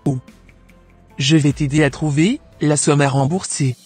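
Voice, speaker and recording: A man playing a frank, motherly aunt in an amateur cartoon, husky and measured as he admonishes over music. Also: a little high, steady tone, a little mellow. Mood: helpful. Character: motherly aunt, frank moral adviser, female shopkeeper. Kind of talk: amateur animated drama acting. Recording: background music.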